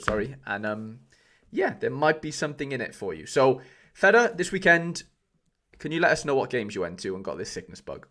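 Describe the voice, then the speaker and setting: A man's voice: mid-range voice, a bit bright. A man hosting a casual podcast, upbeat and teasing his co-host.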